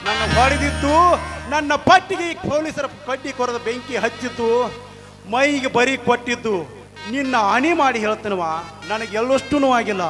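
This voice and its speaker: A man's voice: extremely high pitch, moderate pace, faint music behind. A man playing a young jailed son who consoles his mother and pleads with guards, with a North Karnataka Kannada accent. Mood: consoling. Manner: pleading, consoling, calls out loudly. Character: young jailed son, beaten but brave. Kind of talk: stage drama acting.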